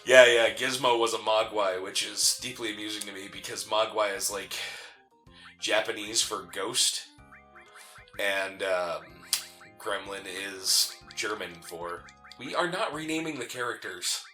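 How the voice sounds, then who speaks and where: A man's voice: fairly low pitch, a little slow, sharp tone, faint music behind. A man co-hosting a gaming show, chatting casually and arguing in a teasing way as he comments on the game.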